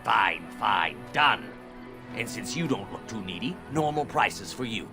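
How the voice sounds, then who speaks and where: Grumpy greedy merchant in a game, gravelly voice, music behind him. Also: male voice, fairly low pitch, expressive, measured pace. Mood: grudging, irritated. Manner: grumbling, curt. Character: grudging, self-interested male village merchant. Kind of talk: video game acting.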